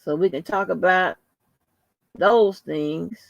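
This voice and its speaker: A woman hosting a casual livestream, rambling informally to her viewers in a soft, calming voice. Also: on the low side, slow, gravelly, no room sound. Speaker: livestream host.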